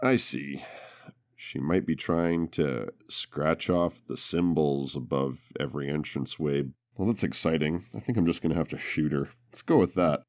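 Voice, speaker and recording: A man's voice: extremely low pitch, moderate pace. A man chatting as a player in a tabletop game, with a dry, wry way of talking. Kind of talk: casual game table talk.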